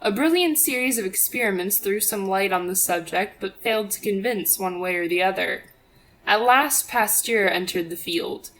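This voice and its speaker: A woman's voice: medium pitch, moderate pace, fairly bright tone, poor audio. A woman reading a religious apologetics audiobook as scripted narration, in a formal, expository manner. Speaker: audiobook reader.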